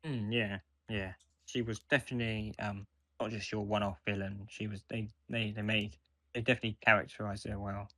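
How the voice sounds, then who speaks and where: A man with a fairly low voice speaks as a podcast co-host. The sound is close and very dry, and he talks in a casual, conversational review style. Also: little pitch movement, brisk, sharp tone, no room sound.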